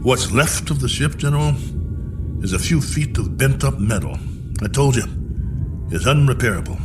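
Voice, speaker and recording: An actor playing a lonely, injured astronaut colonel stranded far from home, with a wry, resigned and measured delivery. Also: male voice, mid-range voice, expressive, music in the background, natural voice. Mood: wry, bitter. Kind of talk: dramatic acting in a science-fiction drama.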